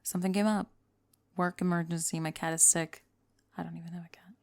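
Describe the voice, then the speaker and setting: A woman's voice: on the low side, fairly even intonation, a little fast, dry recording, natural voice. A woman acting a famous young pop star on a date in an ASMR roleplay, confiding and hesitant, kind and playful but world-weary. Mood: nervous, confiding. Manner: confiding, hesitant, playful, trailing into soft murmurs. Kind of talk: intimate ASMR roleplay audio, one-sided date conversation.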